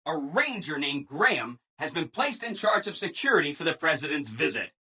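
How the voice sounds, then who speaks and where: A man's voice: on the high side, big pitch swings, normal speed, sharp tone, slightly rough, full character voice. A man voicing a relentlessly cheerful, eager-to-please robot assistant in a video game, with a perky, over-polite manner. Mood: cheerfully explanatory. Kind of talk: video game character dialogue.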